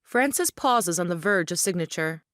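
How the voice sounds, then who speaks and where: A woman's voice: on the low side, expressive, moderate pace, a bit bright, no echo, clean studio sound. A woman reading scripted narration and stage directions for an audio play.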